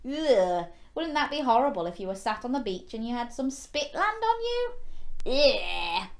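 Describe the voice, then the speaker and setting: A woman's voice: on the high side, sing-song, a little slow. A woman hosting a children's story time, reading a picture book expressively with exclamations and playful questions to young listeners. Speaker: children's storyteller and show host.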